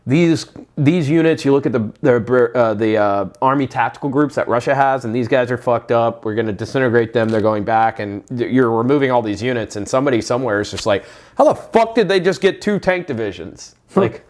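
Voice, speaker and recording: A man's voice: mid-range voice, a little fast, darkish tone. A man co-hosting a podcast, chatting casually in a slangy, profane way and telling anecdotes. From Europe, partly grew up there. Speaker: podcast co-host; military veteran. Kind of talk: casual chat.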